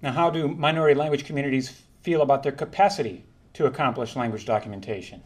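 A man presenting a prepared linguistics talk, reading in a measured, formal way and sometimes correcting himself. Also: mid-range voice, slightly rough. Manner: measured, formal delivery with occasional self-corrections.

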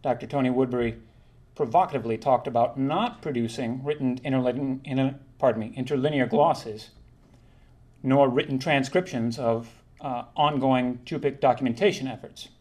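A man delivers a prepared academic presentation in a measured, formal tone. His pitch sits in an ordinary middle range, and he now and then stops to correct a word before carrying on. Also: darkish tone. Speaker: linguistics conference presenter. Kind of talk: reading a prepared academic talk.

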